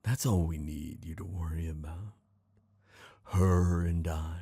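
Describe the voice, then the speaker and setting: A man voicing a smooth, scheming traitor prince, gloating and seductive, in an intimate fantasy ASMR roleplay. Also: low voice, fairly even intonation, dark tone, no room sound, studio quality, heavily acted. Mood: coaxing, commanding. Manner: slow, intimate, theatrical villain delivery.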